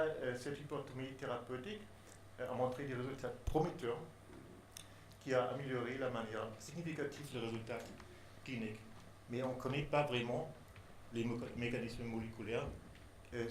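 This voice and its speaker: A man who is not a native French speaker presents a research project, pausing often and restarting his phrases. His voice sits at a middle pitch. The room gives it a roomy echo, with faint noise behind. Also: fairly even intonation. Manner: halting, many pauses and restarts. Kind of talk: hesitant formal presentation in a second language.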